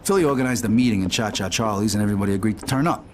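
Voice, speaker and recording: Actor as world-weary New mobster, lowish voice, rapid delivery, sweary narration, crime game. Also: male voice, background noise, natural voice. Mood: blunt, animated. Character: world-weary male mobster telling his story, foul-mouthed. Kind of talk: conversational crime-story narration.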